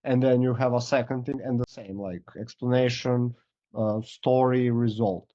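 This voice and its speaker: A man speaks as an in a casual coaching call, hoarse and running low on voice. His explanations wander with "like" and "uh". The video-call sound is dry and dull. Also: fairly even intonation, normal speed, mellow, no echo. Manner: loose, filler-heavy explaining. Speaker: coach giving feedback.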